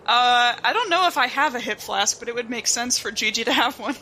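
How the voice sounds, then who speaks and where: A woman's voice: on the high side, animated, fairly quick, sharp tone, a little hiss or hum, rough recording. A woman playing a tabletop game, chatting casually and wryly about her rolls. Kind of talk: casual banter during game play.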